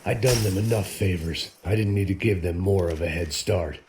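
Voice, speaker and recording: A man playing a jaded, middle-aged, hard-drinking action hero in deadpan, world-weary noir game narration. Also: low voice, normal speed, very noisy, close to an everyday voice. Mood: brooding, weary. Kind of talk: hardboiled noir voice-over narration.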